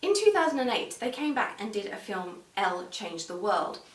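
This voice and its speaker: A woman giving a casual, conversational video review in a measured way, talking through her opinions. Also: medium pitch, very animated. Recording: echoey room, distant microphone.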